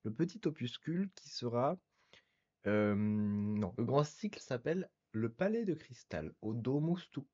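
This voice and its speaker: A man's voice: mid-range voice, sing-song, a little slow, darkish tone, highs cut off. A male podcast guest who talks in elaborate, literary phrasing during a casual conversation.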